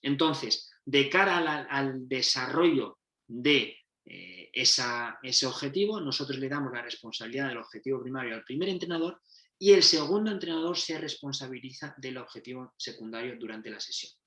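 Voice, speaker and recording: A man's voice: medium pitch, expressive, normal speed, pushed and bright. A man, a football coach giving a methodology talk, explaining step by step and often correcting himself mid-sentence. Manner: explanatory, restarts and self-corrections. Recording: roomy echo.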